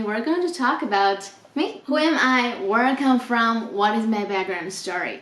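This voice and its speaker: Chatty young woman vlogger, echoey room. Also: medium pitch. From China. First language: Chinese. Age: 20s. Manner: chatty, reads questions aloud then answers with laughs. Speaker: travel vlogger. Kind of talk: casual unscripted Q&A.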